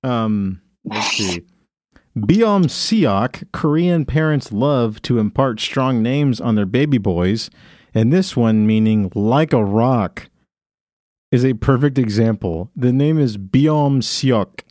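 A man's voice: medium pitch, expressive, slow pace, darkish tone, no room sound, clean studio sound. A man hosting a podcast, reading from his phone in a casual, crude way with frequent laughter. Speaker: podcast host. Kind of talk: casual comedic chat.

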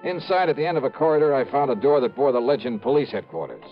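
Male actor as wry, tough-minded insurance investigator, mid-pitched, clipped radio-drama delivery on a dull old recording. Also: animated, fast, faint music behind, natural voice. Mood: brisk narrating. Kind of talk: 1950s radio drama acting and first-person narration.

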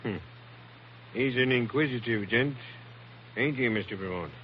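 An actor playing a rough, plain-spoken sailor with colloquial grammar in a 1940s radio drama. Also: male voice, mid-range voice, a little slow, close to an everyday voice. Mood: suspicious. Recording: muffled vintage radio recording, faint noise.

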